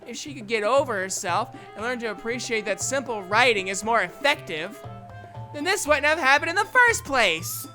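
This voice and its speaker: A woman's voice: fairly high pitch, brisk, few pauses, sharp tone, soft background music, rough recording. A woman voicing a feisty, blunt, easily angered teenage girl in an anime visual novel fandub, with a snappy, confrontational manner. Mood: angry, insistent.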